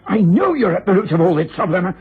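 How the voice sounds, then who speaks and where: An actor playing a stubborn, short-tempered police inspector in a vintage British radio drama, spluttering and emphatic, with a British accent. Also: male voice, high voice, big pitch swings, racing. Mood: stubborn, commanding. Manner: spluttering, barking orders, emphatic. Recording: muffled old radio broadcast with hiss.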